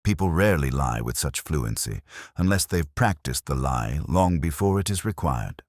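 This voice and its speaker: An older man narrating a first-person detective story in a measured, solemn, reflective manner. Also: rumbling low, animated, no echo, studio quality.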